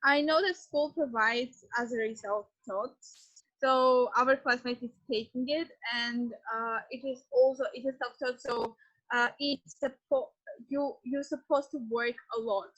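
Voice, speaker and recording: Hesitant young woman, high voice. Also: steady tone, measured pace, fairly bright tone, highs cut off. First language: Armenian. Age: young adult. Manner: hesitant, many fillers and restarts. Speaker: student explaining a course. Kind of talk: informal explainer talk to prospective students.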